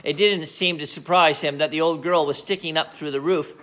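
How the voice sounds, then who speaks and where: A man reading a children's book aloud as an expressive narrator. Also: on the high side, brisk. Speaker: storybook reader.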